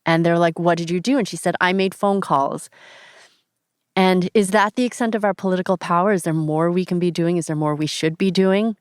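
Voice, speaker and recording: A woman with a very smooth, clear voice sits slightly low in pitch. She muses thoughtfully and poses questions in reflective discussion, recorded dry. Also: steady tone, fairly quick, no echo.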